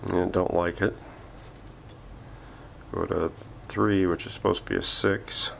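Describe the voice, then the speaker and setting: Deep creaky voiced guy doing a casual repair demo at his bench, kinda talking to himself, some noise behind him. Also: flat delivery, slow, a bit bright. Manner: thinking aloud while working. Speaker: host of a radio repair vlog. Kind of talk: unscripted hands-on workshop demonstration, casual. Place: home workshop.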